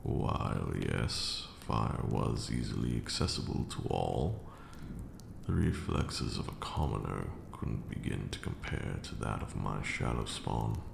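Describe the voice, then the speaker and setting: A man playing an ancient, brooding vampire lord in a slow ASMR roleplay monologue, hushed and grandiose, with measured archaic phrasing. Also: sing-song, full character voice. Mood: grim, brooding. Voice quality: very deep, gravelly, hushed. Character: ancient male vampire lord, brooding, cruel yet now weary. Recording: roomy echo, audible background noise.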